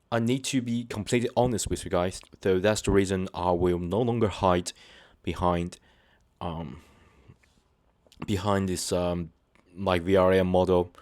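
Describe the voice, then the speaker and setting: A man with a very deep voice speaks to his viewers without a script, stopping and restarting often and leaning on fillers. His delivery is earnest and sincere. The sound is dry and close, with no room around it. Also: steady tone, darkish tone, no echo. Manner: halting, hesitant, many fillers and restarts. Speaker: channel host. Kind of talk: unscripted personal talk to viewers.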